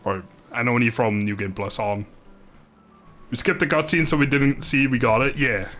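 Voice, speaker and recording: A man's voice: medium pitch, steady tone, moderate pace, fairly bright tone, faint music behind. A man casually commentating a video game on a live stream, chatting with viewers in a rambling, good-humoured way.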